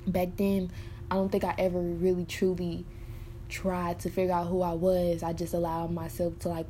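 A woman's voice: fairly low pitch, warm tone, a little hiss or hum. A woman in her early twenties, talking candidly and frankly in a personal monologue, swearing freely and rambling with many fillers. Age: early 20s. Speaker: podcaster.

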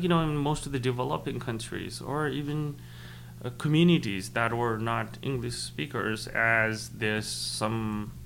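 A young man from Nepal speaks, his being Khas. He answers in a thoughtful, halting way, full of fillers and restarts, at a middle pitch. A faint hum runs under the recording. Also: fairly bright tone. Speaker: interviewee. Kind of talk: casual interview.

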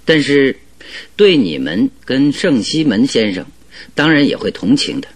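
An actor playing a firm, authoritative gentleman detective giving advice in a Chinese radio drama. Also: medium pitch, big pitch swings. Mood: reassuring. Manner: firm, advising, measured.

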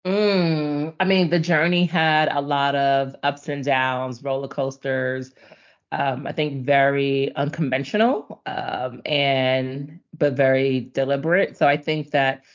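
A middle-aged woman born in Nigeria and raised in the US, telling her life story as a podcast guest in a chatty, animated way with frequent ums. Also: a bass voice, slow pace. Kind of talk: casual conversational storytelling. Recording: dull lo-fi audio.

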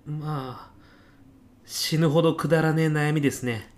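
Higher-voiced, hapless junior businessman played by a man. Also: fairly even intonation, moderate pace, lightly acted. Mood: reluctant, blunt. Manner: hesitant and deferential, bursting into blunt outbursts. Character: meek, hapless junior businessman, exasperated detainee. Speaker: voice actor in a comedy radio drama. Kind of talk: short comedic radio drama.

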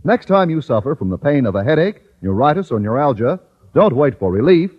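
A man announcing and pitching for a sponsor in 1950s radio style, emphatic and carefully enunciated. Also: big pitch swings, a little fast, slightly rough. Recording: muffled old-time radio recording.

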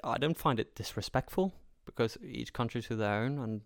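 A man's voice: mid-range voice, normal speed, no room sound, clean studio sound. A man from Denmark with a Danish accent speaks in an emphatic, argumentative way, driving points home with repeated phrases in an opinionated conversation.

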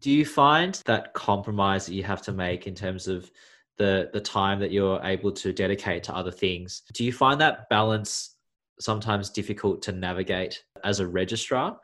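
A man's voice: deep voice, moderate pace, fairly bright tone. A man hosting a podcast, asking thoughtful, hedging questions. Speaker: podcast host. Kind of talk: interview questions.

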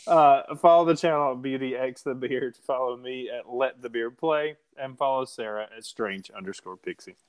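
A man's voice: mid-range voice, moderate pace, few pauses, clear, resonant tone, dry recording. A man co-hosting a podcast in casual chat, joking, teasing and laughing often. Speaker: podcast co-host.

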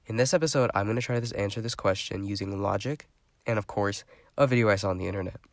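Congested young man, low voice. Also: fast talker, gravelly, no echo, clean studio sound. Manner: rambling, chatty, lots of fillers. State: congested, stuffy voice. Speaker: podcast host. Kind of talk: casual solo podcast monologue.

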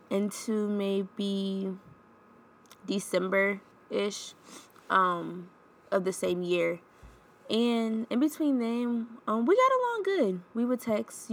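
A woman's voice: medium pitch, vocal fry. A woman in her twenties hosting her own podcast, chatting candidly with lots of ums and sounding stuffed up from a cold. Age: 20s. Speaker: podcast host. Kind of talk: casual solo podcast chat.

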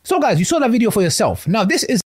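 Lively high-pitched man commentating. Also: fast talker, breathy edge, faint music behind. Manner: earnest, sprinkles Arabic religious phrases. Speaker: online commentator. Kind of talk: reaction video commentary.

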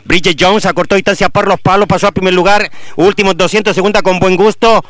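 A man with a Chilean accent calling horse races live, reeling off positions quickly and building to excited finishes. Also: high voice, dry recording. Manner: rapid, rising to excited calls at the finish. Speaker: horse racing announcer. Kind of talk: live race commentary.

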